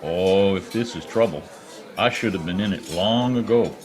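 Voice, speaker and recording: A man's voice: deep voice, moderate pace, crowd noise, natural voice. A man playing a wry, wisecracking private detective who poses as a lonely oilman, in old radio drama style. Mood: flirtatious, joking. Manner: wry, quipping. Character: wisecracking male private eye posing as lonely Oklahoma oilman. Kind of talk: 1940s radio detective acting, first-person narration. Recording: remote video-call recording with music.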